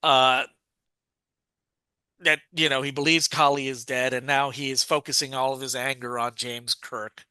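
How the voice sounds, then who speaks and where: A man's voice: mid-range voice, little pitch movement, moderate pace, sharp tone, smooth, no room sound. A man co-hosting a podcast, recapping a story in a rambling, casual way with many restarts and fillers. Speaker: podcast co-host.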